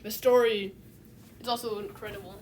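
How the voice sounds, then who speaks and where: A woman giving casual, unscripted video essay commentary in a halting, rambling way, often restarting her phrases. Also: mid-range voice, fairly even intonation, darkish tone, slight background noise.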